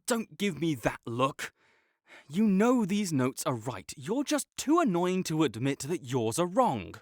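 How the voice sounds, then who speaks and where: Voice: medium pitch, no room sound, clean studio sound. A young adult performer voicing a sarcastic, condescending academic rival with a slight tsundere streak, teasing throughout. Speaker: audio roleplay performer. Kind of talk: scripted audio roleplay acting.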